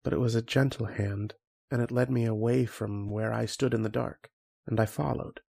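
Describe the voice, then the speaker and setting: An actor playing a haunted, fearful scientist who narrates his ordeal in a dramatic, halting horror radio monologue. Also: mid-range voice, fairly even intonation, creaky, a little mellow, breathy edge. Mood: hushed dread. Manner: dramatic, halting, repeating phrases.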